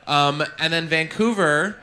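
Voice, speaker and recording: Bright chatty Canadian drag performer. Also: male voice, on the high side, a little slow. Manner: chatty, fillers and self-corrections. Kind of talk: casual Q&A chat. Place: bar venue with live audience.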